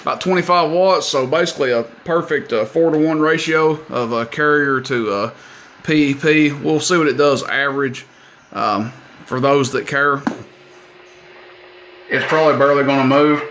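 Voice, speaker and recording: A man's voice: fairly high pitch, normal speed, a bit bright. A man giving a casual, plain-spoken product demonstration of equipment, with folksy, hesitant wording. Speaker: radio seller demonstrating equipment. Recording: amateur video with clear background noise.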